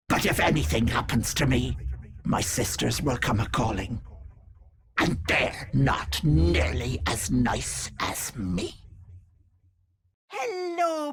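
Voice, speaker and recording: A woman's voice: fairly low pitch, very animated, slow, creaky, heavily acted. A woman voicing a wicked old hag who poses as a sweet, folksy auntie in a video game, with cloying endearments and theatrical menace. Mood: gloating, threatening. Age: older. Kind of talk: video game character acting.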